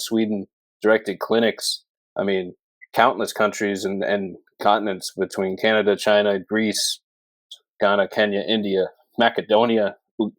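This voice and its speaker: A man with a fairly low voice hosts a casual interview podcast. He speaks in an enthusiastic, rambling way with fairly narrow pitch movement. The sound is close and dry, with very little room in it. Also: no room sound. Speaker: podcast host. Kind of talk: casual interview with long introduction.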